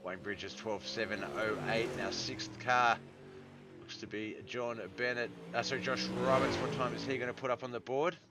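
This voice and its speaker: An Australian man doing relaxed play-by-play commentary on a live-stream sim race, reading out lap times, with a hoarse, congested voice. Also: medium pitch, moderate pace, very noisy. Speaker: sim racing league commentator.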